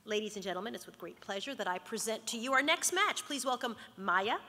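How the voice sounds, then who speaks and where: A woman's voice: medium pitch, expressive, fairly quick, bright, forceful tone, a little hiss or hum. A woman presenter giving a polished, upbeat scripted stage introduction. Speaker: event presenter. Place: banquet hall at an awards dinner. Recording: echoey banquet hall.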